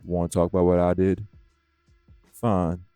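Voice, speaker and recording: Male actor as embittered vampire sire, gravelly, unhurried, close dry sound, accusatory, audio drama. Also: low-pitched, flat delivery, dark tone, no room sound, soft background music. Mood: anguished, angry. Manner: deliberate, emphatic, profane, accusatory. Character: bitter, guilt-worn male vampire sire, fiercely defiant. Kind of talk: dramatic audio roleplay acting.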